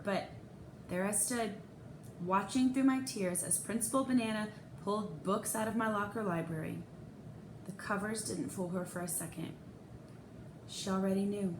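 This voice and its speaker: A woman reading a children's story aloud in an expressive, dramatic way with emphatic pauses. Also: mid-range voice. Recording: echoey room, faint background noise.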